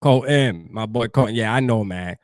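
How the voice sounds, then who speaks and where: Salty, chatty fan-show host. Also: male voice, on the low side, fairly quick, darkish tone, no room sound, clean studio sound. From New Jersey. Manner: loose, profane, talks straight to chat. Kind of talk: casual livestream sports talk.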